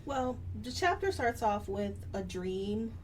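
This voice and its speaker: A woman's voice: mid-range voice, very animated, slow, darkish tone. Female co-host, casual book chat, self-correcting, recorded off-mic with light background noise. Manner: self-correcting, laughs at own slips. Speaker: podcast co-host. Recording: distant mic with faint noise.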